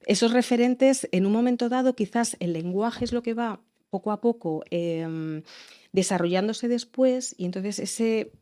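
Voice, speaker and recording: A woman's voice: fairly high pitch, measured pace, dark tone. A woman from Spain explaining child bilingualism in an interview, thoughtful and hesitant with restarts. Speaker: education expert guest.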